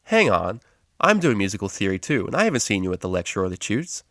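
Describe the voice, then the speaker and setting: A man's voice: fairly low pitch, sing-song, fast, a bit bright, dry recording, clean studio sound. A man voicing a brash, hot-tempered young female unicorn DJ with a snarky, slangy manner in a storybook.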